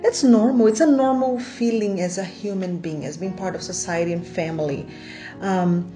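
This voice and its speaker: A woman's voice: mid-range voice, moderate pace, dark tone, faint music behind. A woman from Brazil with a Brazilian Portuguese accent, hosting a faith podcast in an enthusiastic, chatty way, laughing often. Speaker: podcast host. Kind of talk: casual faith podcast monologue.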